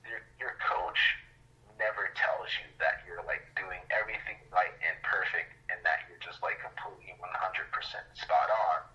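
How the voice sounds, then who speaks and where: Low, very bright-voiced man, lo-fi sound. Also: fairly even intonation, moderate pace. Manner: conversational, explains with analogies and frequent "like" and "you know". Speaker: podcast guest. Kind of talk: casual reflective interview talk.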